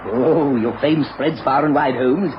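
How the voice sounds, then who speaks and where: A man's voice: medium pitch, measured pace, natural voice. A man playing a cheerful, chatty retired English police superintendent turned country publican in a radio drama. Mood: hearty. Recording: muffled, noisy old radio recording.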